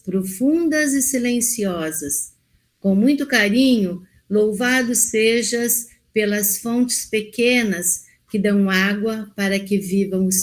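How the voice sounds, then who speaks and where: A woman reads a prayer gently. Her voice is mid-pitched and her pace is slow. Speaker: prayer reader. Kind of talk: reading a prayer aloud.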